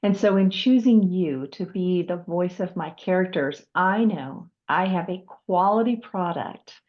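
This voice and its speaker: A woman hosting a conversational interview, speaking in a polite, measured, appreciative way. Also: fairly low pitch, muffled recording. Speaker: host, author.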